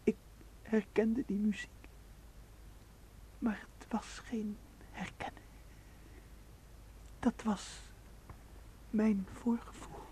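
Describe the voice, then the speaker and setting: An actor playing a hot-blooded, jealous young man, a protective brother, in a Dutch radio play with intense, halting delivery. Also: high-pitched, flat delivery, mellow, a little hiss or hum. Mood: dying, weak. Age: 20s.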